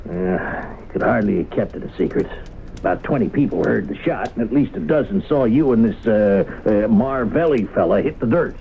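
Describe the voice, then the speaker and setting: An actor playing a folksy, exasperated small-town sheriff who blusters and hesitates in a radio drama. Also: male voice, on the low side, animated, moderate pace, gravelly. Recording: noisy, tinny old radio broadcast.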